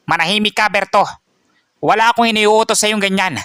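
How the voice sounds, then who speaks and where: A man puts on a corrupt, arrogant village chief, loud and high with bluster. He snaps and waves off blame in a fast, dismissive rush, read close and dry for a storybook. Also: clear, resonant tone, dry recording.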